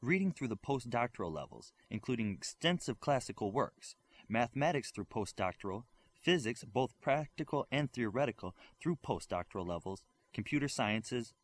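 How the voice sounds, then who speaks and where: Male narrator for fiction audiobook, mid-pitched, animated range, reading scripted prose. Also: normal speed. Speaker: audiobook reader.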